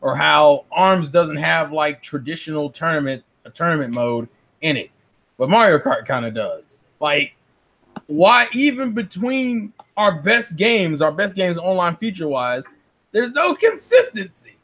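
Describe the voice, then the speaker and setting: A man's voice: on the high side, animated, unhurried, fairly bright tone. A man debating casually as a podcast co-host, complaining in an incredulous way and swearing freely.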